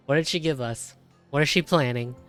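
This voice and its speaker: A woman's voice: very deep voice, brisk, faint music behind. A woman giving casual live reaction commentary while playing a video game, in short informal remarks.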